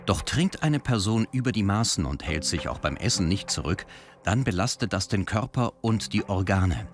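A man reading scripted voice-over narration for a science report in a measured, explanatory way. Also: on the low side, lively intonation. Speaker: documentary narrator. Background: music bed.

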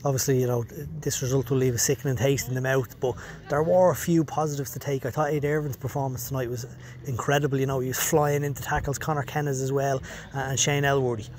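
Quick, even-toned young man. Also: mid-range voice. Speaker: club interviewer. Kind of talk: post-match sports interview questions. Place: outdoors on a football pitch. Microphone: handheld recorder at arm's length.

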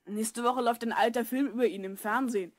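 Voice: mid-range voice, fairly even intonation, fast, no room sound, clean studio sound, natural voice. An actor voicing an eager, curious twelve-year-old boy in a German children's audio drama. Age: child figure, twelve.

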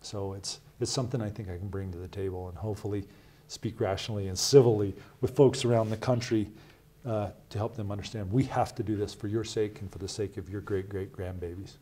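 Wyoming guy in his 50s, low mellow voice, earnest and emphatic, studio interview on a lapel mic. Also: steady tone. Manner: earnest, measured, emphatic with rhetorical "right?" asides. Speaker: Senate candidate. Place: small TV studio.

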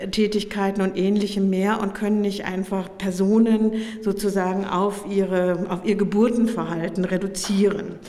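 A middle-aged woman, slightly hoarse from a cold, gives a measured, formal academic lecture, explaining as she goes. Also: mid-range voice, warm tone. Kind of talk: public lecture. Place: indoor lecture room at a podium. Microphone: podium microphones. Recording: reverberant lecture hall.

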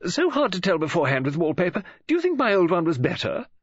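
A man performs a bubbly middle-aged woman writer who coaxes and fusses. The voice sits very high, husky and lively. The audiobook recording sounds a little dull. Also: fast, no echo, full character voice. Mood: fretful. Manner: gushing, coaxing, high breathy falsetto. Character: chatty excitable middle-aged female novelist.

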